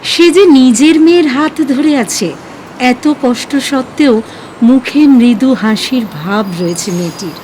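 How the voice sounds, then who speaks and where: A woman narrating a scripted audio story in an expressive, emotive, dramatic way. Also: high voice, a little slow, a little hiss or hum, rough recording.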